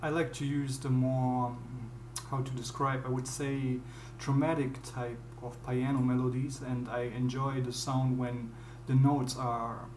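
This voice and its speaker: A young man with a German accent, a non-native English speaker, explaining step by step in a halting, word-searching way how he builds a beat at his computer. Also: medium pitch, little pitch movement, darkish tone, slight background noise. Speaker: music producer. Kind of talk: screen-recorded beatmaking tutorial. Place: dim small room at home. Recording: roomy with piano playing behind.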